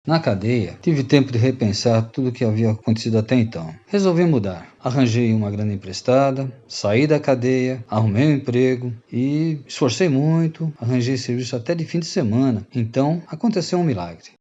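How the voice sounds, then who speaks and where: A man's voice: mid-range voice, lively intonation, a little fast, few pauses, warm tone, highs cut off, lightly acted. An actor playing a repentant Brazilian rogue and former freeloader, telling his story to friends in radio drama style. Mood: earnest.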